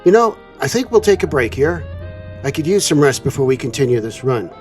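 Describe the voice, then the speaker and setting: A man's voice: a little high, very animated, fairly quick, over loud music. A man playing an easygoing trucker captain in a semi-scripted drama.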